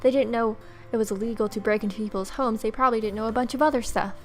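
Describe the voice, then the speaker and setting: A woman's voice: a little high, fairly even intonation, fast. Young woman reading aloud over game music. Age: young adult. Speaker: let's player. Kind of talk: reading visual novel narration aloud.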